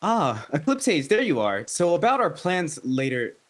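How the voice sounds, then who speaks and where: A man's voice: a little high, big pitch swings, measured pace, voice pushed up. A man voicing a disdainful, suspicious young tomcat from a wild clan in a roleplay game. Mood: casual. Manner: scornful, protesting.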